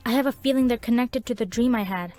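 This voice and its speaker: A woman's voice: a little high, fast, a little mellow, dry recording, soft background music, natural voice. A woman voicing an earnest, dramatic teenage cartoon girl in an emphatic, theatrical fan fiction reading. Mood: earnest, determined.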